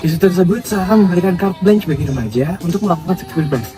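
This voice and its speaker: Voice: medium pitch, expressive, quick pace, music in the background. A student presenter reading formal narration for a presentation. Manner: read-aloud, formal.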